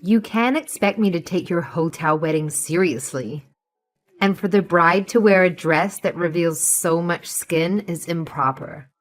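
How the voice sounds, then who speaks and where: A woman's voice: lowish voice, unhurried, faint music behind, natural voice. A woman playing a haughty, suspicious elderly mother-in-law, scornful and condescending, in a scripted audio story. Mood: disdainful. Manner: condescending, sharp, calls others sweetheart and darling.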